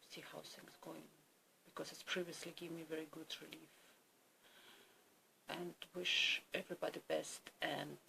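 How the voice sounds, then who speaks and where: A Ukrainian woman whose first language is Russian talks in a subdued, weary video diary, halting and searching for her words. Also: sits low, gravelly, a bit bright, slight background noise, poor audio. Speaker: vlogger.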